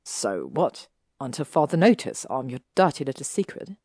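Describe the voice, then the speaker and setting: A woman reading a fan story aloud, voicing an arrogant, possessive young male wizard in a lowered, clipped drawl. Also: big pitch swings, normal speed, dark tone, breathy edge, dry recording, clean studio sound, exaggerated voice. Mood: sulky.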